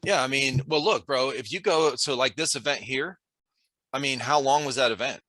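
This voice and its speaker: A man's voice: medium pitch, moderate pace, bright, forceful tone, no echo. An American man hosting a podcast, chatting in a laid-back way and calling his guest "bro". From United States. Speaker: podcast host. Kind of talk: casual chat.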